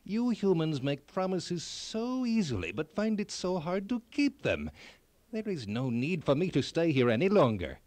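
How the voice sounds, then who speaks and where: A man's voice: fairly high pitch, very animated, darkish tone, dry recording, pitched above the performer's own voice. Man voicing a tiny, lilting, commanding old elf. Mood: disappointed, reproachful. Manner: measured, deliberate, solemn questioning. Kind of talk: children's fairy tale read aloud.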